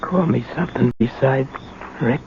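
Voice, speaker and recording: An actor playing a weak, rough, remorseful old ex-convict father in a radio drama, with labored, uneducated street speech. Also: medium pitch, moderate pace, close to an everyday voice. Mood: resigned. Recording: dull, noisy old radio broadcast.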